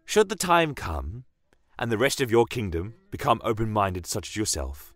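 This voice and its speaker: A man voicing a courteous military commander with a formal, deliberate delivery in a fantasy audio story. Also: lowish voice, very animated, dry recording, soft background music. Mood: earnest. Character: courteous, dignified male military commander of a desert empire.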